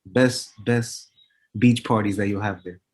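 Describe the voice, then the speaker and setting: A man's voice: on the low side, steady tone, measured pace, slightly rough. A man chatting casually and eagerly, full of slang like "bro" and "man". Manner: enthusiastic, slangy, calls people bro. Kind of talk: casual chat.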